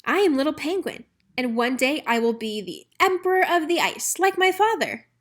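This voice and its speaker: A woman's voice: sits high, big pitch swings, moderate pace, pitched above the performer's own voice. A woman reading a children's storybook, voicing a small young penguin chick, eager, easily worried and earnest. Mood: proud, earnest. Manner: peeping, plaintive, earnest. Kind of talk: children's storybook read-aloud.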